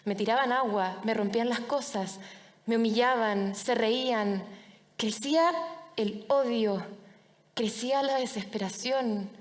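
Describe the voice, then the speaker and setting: A young woman with a Chilean accent tells a personal story as a public speaker, in short, deliberate phrases with dramatic pauses. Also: fairly high pitch. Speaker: public speaker, anti-bullying foundation director. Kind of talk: personal storytelling talk to an audience. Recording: reverberant large hall.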